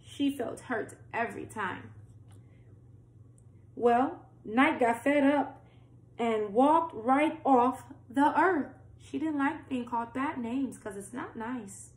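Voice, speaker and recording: Young female children's storytime reader. Very unhurried, explains as she goes, roomy home recording. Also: high voice. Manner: simple explanatory asides, questions to young listeners. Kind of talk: reading a picture book aloud, with asides to children. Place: small plain-walled room indoors.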